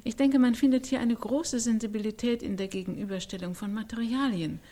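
A woman's voice: on the high side, brisk, mellow, natural voice. A woman playing a righteous intellectual art critic in a radio play, articulate, insistent and rhetorical. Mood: fascinated, measured. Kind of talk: radio play panel discussion.

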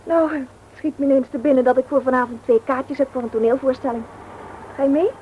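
A woman's voice: high voice, fairly even intonation, fast talker, narrow, tinny audio, close to an everyday voice. A woman acting a reproachful, headstrong young woman in a radio play. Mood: casual, coaxing. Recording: noisy old radio play recording.

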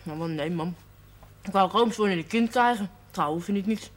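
A woman's voice: mid-range voice, fairly quick, close to an everyday voice. An actress playing a naive, cheeky and stubborn young village girl in a television period drama, blunt and artless. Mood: blunt, defiant.

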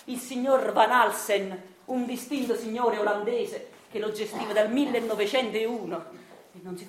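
A woman's voice: medium pitch, lightly acted. A woman as a rueful Neapolitan clerk, roomy echo. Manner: rueful, self-pitying storytelling. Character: meek henpecked Italian clerk of forty-seven, resigned and wistful. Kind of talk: theatrical radio monologue. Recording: roomy echo, faint noise.

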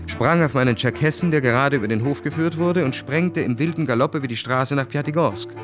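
A man's voice: mid-range voice, a little fast, over music, close to an everyday voice. An actor playing a proud, world-weary young Russian officer who narrates his own story dramatically in a radio play. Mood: desperate, urgent.

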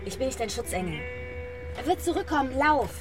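High-voiced streetwise young woman. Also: very animated, a little fast. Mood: cool, stubborn. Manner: blunt, offhand. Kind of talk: German crime radio play acting. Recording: music under the voice.

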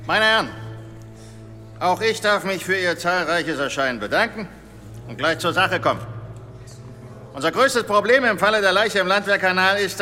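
An actor playing a brisk, matter-of-fact police inspector formally briefing colleagues in an echoing hall, in a radio play. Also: male voice, high-pitched, sharp tone. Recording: echoing hall, faint music.

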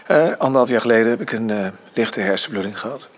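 Radio play: male actor for frail estranged father, older man, husky voice with lively swings, hesitant delivery. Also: mid-range voice, close to an everyday voice. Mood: reassuring, uneasy. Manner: halting, hesitant, stammering with broken-off phrases. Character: frail, broken, big older father, estranged from his son. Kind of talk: naturalistic radio drama acting.

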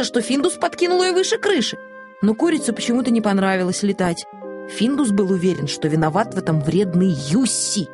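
A woman narrating a children's audiobook in an expressive, scripted storytelling style. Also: high voice.

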